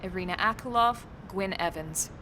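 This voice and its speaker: A woman's voice: mid-range voice, moderate pace, sharp tone, slight background noise, close to an everyday voice. A woman playing a seasoned, matter-of-fact ex-colonel with a dry, authoritative manner in a video game cutscene. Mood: composed, wry. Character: composed, seasoned female ex-colonel, brisk and dryly wry.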